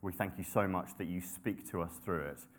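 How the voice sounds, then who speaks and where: Young male preacher, lowish voice; relaxed, humble sermon delivery with filler words and restarts. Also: normal speed. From grew up in Nepal and the UK. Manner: conversational, self-deprecating, with frequent ums and restarts.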